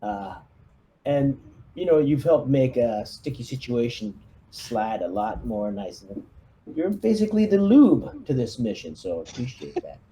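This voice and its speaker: A man's voice: mid-range voice, sing-song, unhurried, warm tone. A man playing a swaggering, commanding marine sergeant in a tabletop game, swearing as he gives orders. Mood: conciliatory, probing.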